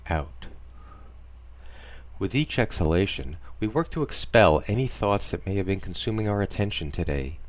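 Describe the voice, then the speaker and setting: A man narrating a scripted parody guided meditation in a deadpan, soothing instructional manner. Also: sits low.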